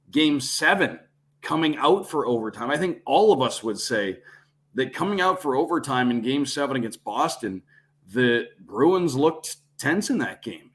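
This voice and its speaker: Guy with an average pitch voice, sports broadcaster guest on a podcast, fluent, long explanations, chatty analysis. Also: fairly bright tone.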